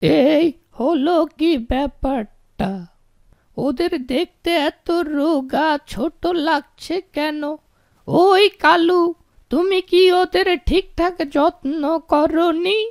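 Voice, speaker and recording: Gullible, tender-hearted landlord played by a woman for a cartoon: extremely high, gravelly, drawn-out delivery. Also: a little mellow, no room sound, clean studio sound. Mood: puzzled. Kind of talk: exaggerated cartoon dubbing.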